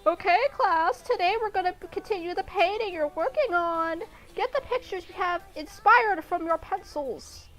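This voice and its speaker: A woman's voice: extremely high pitch, moderate pace, few pauses, a bit bright, voice pushed up, low-quality recording. A woman voicing a strict art teacher who gives firm instructions to a class in a story reading. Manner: strict, commanding. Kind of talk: amateur story character reading.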